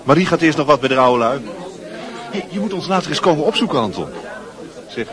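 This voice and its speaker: A man's voice: a little high, animated, fairly quick, lightly acted. A man acting a brash, chummy young school leaver who schemes and boasts, in a radio drama. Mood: confiding, smug. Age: young adult. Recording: busy crowd noise behind. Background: crowd chatter.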